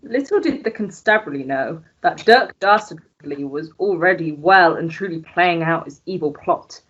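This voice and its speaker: A woman playing the narrator of a radio melodrama, theatrical and ominous in scripted narration. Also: medium pitch. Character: melodrama narrator lamenting villainy. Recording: dull lo-fi recording.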